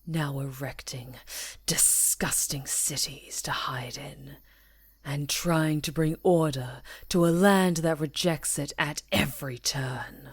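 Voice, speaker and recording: A woman playing a proud, solemn female werewolf chieftain in an ASMR roleplay, speaking gravely and formally in a husky, breathy voice. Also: low-pitched, no room sound, exaggerated voice. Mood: bitter scorn. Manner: slow, grave, formal pronouncements.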